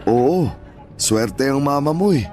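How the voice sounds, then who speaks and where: A man's voice: mid-range voice, lively intonation, takes it slowly, a little mellow. An actor playing a kindly, hardworking older father and former street vendor in a Tagalog audio drama, with a Filipino accent and reassuring, plain-spoken manner. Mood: warm, grateful. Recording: music bed behind voice.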